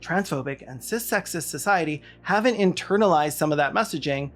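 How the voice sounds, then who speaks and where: Video essay host, mid-pitched, sardonic and emphatic scripted commentary over light music. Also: lively intonation, a little fast, fairly bright tone.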